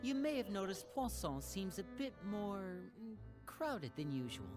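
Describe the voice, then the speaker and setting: A man's voice: high-pitched, big pitch swings, measured pace, music in the background. An actor voicing a polite, charming young male stage magician in a video game. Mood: hesitant. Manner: courteous, gracious. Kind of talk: game voice acting.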